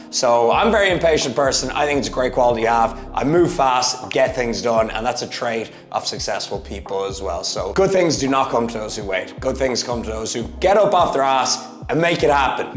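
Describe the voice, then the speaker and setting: Energetic man in his thirties. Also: normal speed. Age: 30s. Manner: energetic, emphatic, swings from loud bursts to low asides. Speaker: fitness YouTuber. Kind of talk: motivational vlog monologue. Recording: echoey room with faint music. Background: faint background music.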